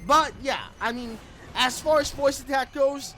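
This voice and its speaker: A man with a high-pitched voice talks casually as a gaming video creator. He rambles and circles back over the same phrases. Clear background music plays under his commentary, recorded in a room at home. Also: measured pace, pushed and bright.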